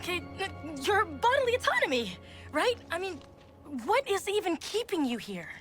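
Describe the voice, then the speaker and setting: A woman's voice: very high-pitched, huge pitch swings, normal speed, a bit bright, soft background music, heavily acted. An actress playing a sarcastic, rebellious eighteen-year-old girl in a video game cutscene. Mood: defiant. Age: young adult. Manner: sarcastic, valley-girl fillers.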